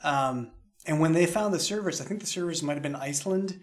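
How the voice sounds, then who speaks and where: A man's voice: on the high side, a little fast. A man talking casually in a behind-the-scenes discussion, explaining things with an occasional chuckle in his speech. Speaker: podcast creator in a cast discussion.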